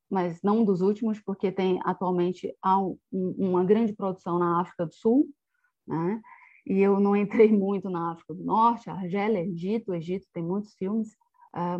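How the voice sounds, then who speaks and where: A woman's voice: mid-range voice, normal speed, dry recording, highs cut off. A woman with a Brazilian Portuguese accent giving an academic presentation on slides, partly reading from a text, as a researcher. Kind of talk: academic lecture with slides, partly read aloud.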